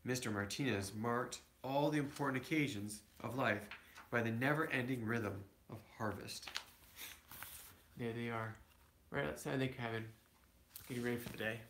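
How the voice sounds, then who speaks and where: A man reads a picture book aloud in an echoey room, in a mid-pitched voice. He stumbles slightly now and then and drops into casual explanations, like a father reading to his children at home. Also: noisy background. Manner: halting, with small stumbles and asides to children. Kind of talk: read-aloud storytelling with casual asides.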